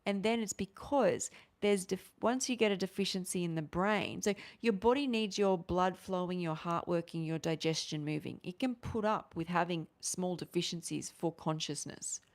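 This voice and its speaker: A woman chats on a livestream, answering viewers. Her voice sits on the low side. She rambles and restarts her sentences, and she talks with her hands. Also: normal speed, few pauses. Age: 40s. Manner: rambling, animated, restarts often, gestures with hands. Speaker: livestream host.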